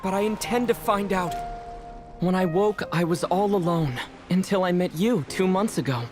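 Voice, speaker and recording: An actor playing a young male traveler in a video game, telling his story in an earnest, quiet way. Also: sits high, moderate pace, big-room sound, soft background music, natural voice.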